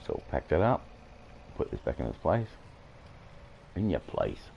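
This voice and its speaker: A man with an Australian accent, croaky from coughing, rambling through farming-game commentary with many halting fillers. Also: very deep voice, sing-song, highs cut off, a little hiss or hum. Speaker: gameplay streamer.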